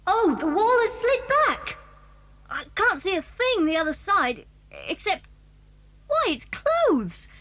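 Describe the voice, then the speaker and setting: Excited nosy kid, boy, swoopy high voice, kids audio drama. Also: slow pace, full character voice. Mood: amazed. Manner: exclaiming, curious. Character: excitable, inquisitive schoolboy on an adventure. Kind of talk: 1980s children's audio drama acting.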